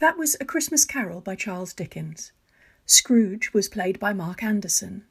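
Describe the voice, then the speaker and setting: A woman reading a scripted cast announcement for a radio play in a husky, creaky voice. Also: mid-range voice, lively intonation, moderate pace, loud music behind the voice.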